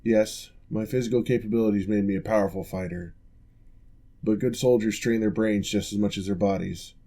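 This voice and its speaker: Guy doing a calm formal orc ex-general, lowish mellow voice, measured and reassuring. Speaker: physical therapist. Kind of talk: ASMR roleplay.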